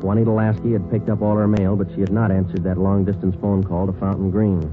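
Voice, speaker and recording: Tinny old-radio deadpan police sergeant, acted by a man. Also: sits low, fast, close to an everyday voice. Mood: deadpan, businesslike. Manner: clipped, deadpan, matter-of-fact. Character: terse, businesslike male police sergeant, narrating his case. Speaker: lead actor and narrator. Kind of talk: 1950s radio crime drama acting. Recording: tinny, band-limited old radio recording with music.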